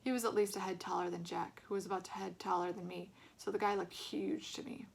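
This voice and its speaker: A woman reading a storybook aloud as an expressive narrator. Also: on the low side, a little fast, vocal fry, husky.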